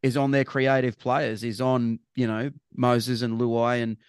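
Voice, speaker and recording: A man's voice: medium pitch, level tone, measured pace, clear, resonant tone, dry recording, clean studio sound. A man with an Australian accent chatting casually as a podcast co-host, rambling and restarting his phrases, with bursts of emphasis. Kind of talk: casual sports chat.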